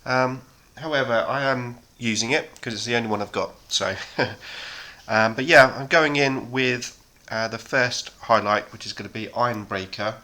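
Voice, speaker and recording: Man chatting while painting, bright. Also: medium pitch, moderate pace. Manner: relaxed, rambling, many ums. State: sore throat, slightly hoarse. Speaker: hobby painting channel host. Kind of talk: casual chat while painting.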